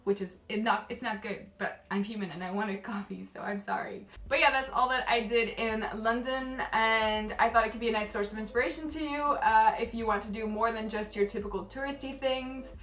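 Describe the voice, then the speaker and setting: A woman's voice: a little high, steady tone, brisk, hardly any pauses, fairly bright tone. A young woman who grew up in Malaysia, chatting casually and rambling to camera as a travel vlogger, often laughing at herself. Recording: echoey room, mic at a distance, faint music.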